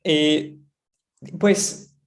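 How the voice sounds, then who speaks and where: A man in his thirties from Bogotá, with a Bogotá Colombian accent, giving reflective, measured answers as an interview guest. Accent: Bogotá Colombian Spanish. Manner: reflective, measured, with frequent pauses. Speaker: astrophysicist guest.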